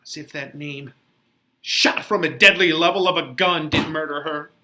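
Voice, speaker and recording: A man's voice: a little high, steady tone, moderate pace, pushed and bright. A man voicing a fretful, woeful female nurse who laments in melodramatic Shakespearean verse. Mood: woeful lamenting. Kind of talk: dramatic Shakespeare reading.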